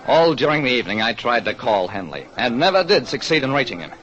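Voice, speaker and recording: A man's voice: medium pitch, sing-song, fast, fairly bright tone. An actor playing a hard-luck, wry, world-weary private detective who narrates his case in an old radio drama. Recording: dull, noisy old radio recording.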